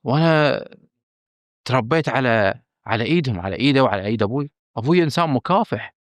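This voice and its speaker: A man with a Bahraini accent, a film director speaking candidly as a podcast guest, emphatic and earnest, often pausing on fillers and restarting. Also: medium pitch, expressive, dry recording. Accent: Bahraini Arabic. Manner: earnest and emphatic, stressing his frankness, with hesitant fillers and restarts.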